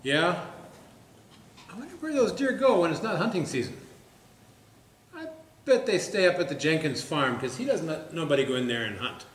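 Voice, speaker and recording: High-voiced, folksy small-town actor's card player, echoey stage. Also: male voice, very animated, normal speed, pauses often. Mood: gossipy. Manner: folksy, teasing, plainspoken. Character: chatty, needling small-town man at cards. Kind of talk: comic stage play dialogue. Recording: echoey stage with faint noise.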